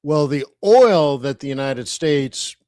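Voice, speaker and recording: A man's voice: fairly high pitch, lively intonation, slow pace, a little mellow, no echo, clean studio sound. A middle-aged Canadian man hosting a live stream, chatting casually and throwing rhetorical questions at his viewers. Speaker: host of a live trading stream.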